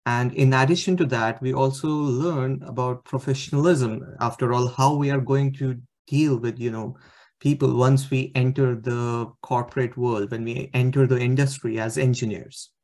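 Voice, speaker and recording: A man's voice: mid-range voice, steady tone, normal speed, darkish tone. A young man from India, a student giving a slide presentation over a video call, hesitant with many fillers. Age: young adult. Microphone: webcam on video call.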